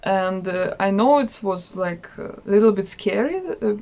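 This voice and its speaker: A woman in her thirties with a Russian accent, chatting casually about a trip in a travel vlog with many pauses and fillers. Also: mid-range voice, a little mellow. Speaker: travel vlogger.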